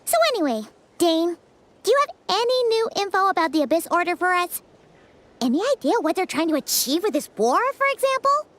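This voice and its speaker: A woman voicing a small, chirpy, cheeky fairy companion with a childlike, chatty, expressive manner in a video game. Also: very high voice, fairly quick, exaggerated voice. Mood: curious. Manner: chatty, expressive, refers to self by name.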